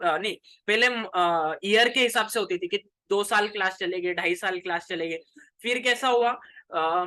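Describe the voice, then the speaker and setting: An Indian man gives an informal lesson in a bright, forceful voice. He mixes Hindi with English and talks straight to his students. His wording is colloquial and chatty, like a tutor at ease with a class. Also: high-pitched. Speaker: commerce tutor.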